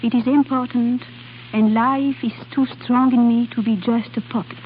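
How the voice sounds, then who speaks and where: An actress playing a passionate, volatile young foreign woman in a vintage radio drama, with halting, dramatic delivery and uneven foreign-sounding English. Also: on the high side, fairly even intonation, close to an everyday voice. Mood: pleading, lovesick. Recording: old radio broadcast, tinny band-limited, hiss.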